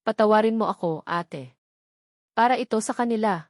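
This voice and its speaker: A woman's voice: mid-range voice, moderate pace, no room sound, clean studio sound, close to an everyday voice. A Filipino woman voicing a desperate, anguished young aunt in a dramatic love story, pleading and emotional. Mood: remorseful.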